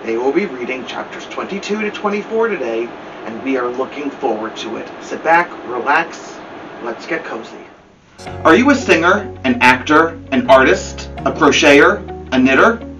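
A man's voice: fairly high pitch, expressive, normal speed. Upbeat man over guitar music. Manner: emphatic, salesy, rising to loud. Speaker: host. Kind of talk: upbeat show promo. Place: home stairway indoors. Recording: distant mic with guitar music.

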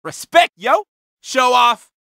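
A vain young tuner car from a racing video game, full of slangy swagger. The voice is bright and forceful, swooping widely in pitch, with an unhurried delivery and a dry, close sound. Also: male voice, very animated, smooth, dry recording, exaggerated voice. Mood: excited. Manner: slangy, boastful, exclamatory. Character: cocky young male street-racer tuner car, vain show-off. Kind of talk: video game character barks.

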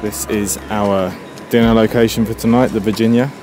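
A man's voice: lowish voice, fairly even intonation, normal speed. Upbeat man vlogging on the road. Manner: upbeat and chatty, uses British words like "proper" and "reckon". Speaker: travel vlogger host. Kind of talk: casual travel vlog narration to camera. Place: outdoors at beaches, boats, streets and restaurants. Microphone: handheld camera at arm's length. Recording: music playing loudly over the voice.

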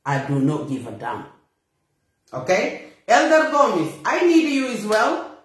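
A woman's voice: medium pitch, wildly swooping pitch, measured pace. A middle-aged woman with a Bissau-Guinean accent, delivering a blunt, indignant and emphatic confrontational monologue to a phone camera in a small room. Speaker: vlogger. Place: small bare room indoors. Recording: very echoey room.

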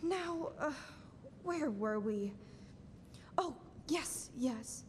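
A young woman acting a composed, folksy storyteller who narrates a radio play at a measured pace. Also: expressive, heavily acted. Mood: storytelling. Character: composed storyteller recounting a man's life. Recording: echoey auditorium with faint rumble.

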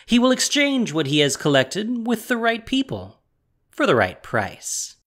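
A woman's voice: medium pitch, huge pitch swings, measured pace. A woman announcing and narrating a scripted fantasy audio drama. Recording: music behind the voice.

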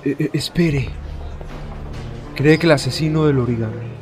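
A man's voice: medium pitch, moderate pace, a little mellow, lightly acted. Male actor as troubled father in a game drama, thirties, anxious and guilty, Latin American Spanish accent, music underneath. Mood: worried. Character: anxious, guilt-ridden father of a missing son. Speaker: fan dub voice actor. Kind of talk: dramatic video game dub.

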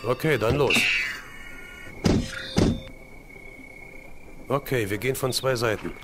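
A man's voice: medium pitch, quick pace, very noisy, lightly acted. A man playing a determined, serious crime-fighting hero in an audio drama. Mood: focused. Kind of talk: German fan audio drama acting.

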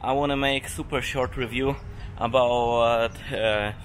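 A man's voice: mid-range voice, fairly even intonation. Polish man vlogging on a rooftop. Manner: halting non-native English with many fillers. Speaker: travel vlogger. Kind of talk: casual vlog talk to camera. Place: hotel rooftop by a pool, outdoors. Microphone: handheld camera at arm's length. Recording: outdoor city noise behind.

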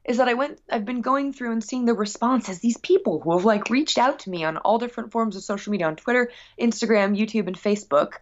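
A woman's voice: a little high, fast talker, hardly any pauses. A woman speaking earnestly and reflectively as a musician being interviewed, in casual conversation. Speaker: guest musician. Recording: dull, lo-fi sound.

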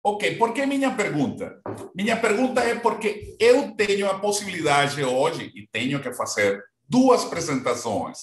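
Trainer, male, 40s, Venezuelan accent, halting delivery with repeats, a touch high, roomy echo. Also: very animated. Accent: Venezuelan Spanish. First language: Spanish. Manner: halting, repeats words, mixes in Spanish. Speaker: real estate trainer. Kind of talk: online training session. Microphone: laptop mic on a video call.

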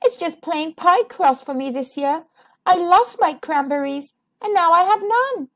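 A woman voicing a kind, excitable, generous female porcupine in an eager sing-song for a children's storybook. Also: extremely high pitch, unhurried, pitched above the performer's own voice. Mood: dismayed.

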